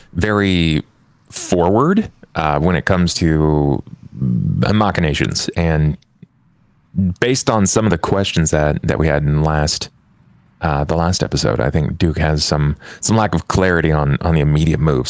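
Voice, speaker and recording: Deep, creaky-voiced man, thoughtful. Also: dry recording. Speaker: player on a tabletop game podcast. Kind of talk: unscripted game talk.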